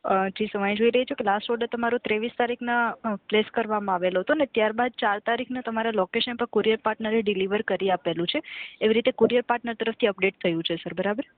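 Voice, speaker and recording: A woman works as a customer care agent on a narrow, tinny phone line. She talks quickly in a courteous, scripted manner, often checking that she has been understood. The sound is dry, with no room around it. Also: medium pitch, steady tone, no echo. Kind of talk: scripted, polite customer service phone talk. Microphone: telephone call.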